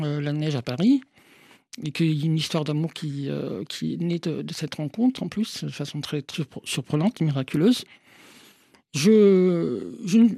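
A woman from Portugal speaks in French, her shaping her vowels. She answers as an author guest in a radio interview, with a slight fry in her voice and a dry, close sound. She talks in a hesitant, searching way, with frequent restarts and fillers. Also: sits low, warm tone, dry recording. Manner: hesitant, restarts often, many "en fait" fillers.

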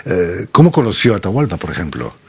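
Husky low-voiced male interviewer. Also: very animated, fast, a little mellow. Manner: curious, prompting follow-up questions. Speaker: interviewer, host. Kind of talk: conversational interview.

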